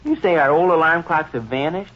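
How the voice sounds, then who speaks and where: An actor in an old-time radio comedy playing a shrewd, good-natured teenage son with folksy, slangy, suspicious talk. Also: male voice, fairly high pitch, fairly quick, close to an everyday voice. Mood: knowing, teasing. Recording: dull, muffled old radio recording.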